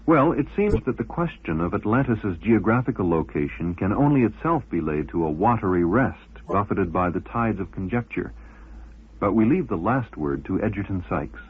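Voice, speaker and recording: A man narrating a scripted documentary with literary, measured phrasing. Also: lowish voice, big pitch swings, husky. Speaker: programme narrator. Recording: muffled old radio recording.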